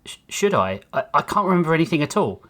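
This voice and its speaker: A male storyteller acts a bewildered man waking in a sci-fi tale. He is groggy and rasping, hesitating and stammering as he tries to make sense of things. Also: medium pitch, very animated, unhurried, a bit bright, exaggerated voice. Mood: panicked.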